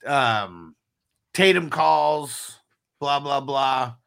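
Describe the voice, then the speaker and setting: A man's voice: mid-range voice, lively intonation, drawn-out words, gravelly, fairly bright tone, clear, resonant tone. A man hosting a casual movie review podcast, steering the chat. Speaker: podcast host steering the discussion.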